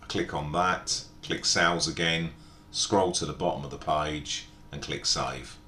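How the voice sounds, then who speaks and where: A man narrating an informal step-by-step software tutorial in a methodical, explanatory way. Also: steady tone, measured pace. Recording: echoey room, background hiss and rumble.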